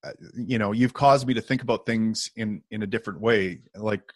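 A man's voice: lowish voice, a little fast, dry recording, studio quality. A man co-hosting a podcast, chatting casually in an informal, joking way with some salty language. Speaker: podcast co-host. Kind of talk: casual conversational podcast chat.

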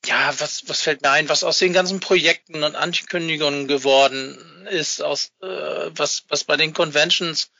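Sharp, high-voiced man on internet call. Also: fairly even intonation, moderate pace, creaky, few pauses, no echo, lo-fi sound. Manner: rambling, colloquial. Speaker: interview guest, fan club founder. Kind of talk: casual interview talk. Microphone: Skype call.

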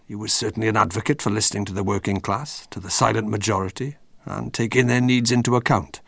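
A man giving measured, explanatory commentary as a contributor to a documentary. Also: on the low side, steady tone, gravelly, fairly bright tone, dry recording.

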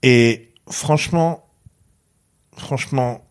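A man's voice: mid-range voice, extremely slow, clean tone. A man as a guest on a casual pop-culture chat, laid-back, often answering with short agreeing replies. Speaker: podcast guest.

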